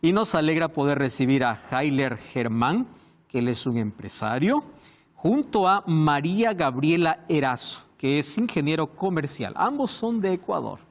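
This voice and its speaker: A man hosting a Bible study program, speaking in a measured, emphatic way. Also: a little high, big pitch swings. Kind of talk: studio presentation.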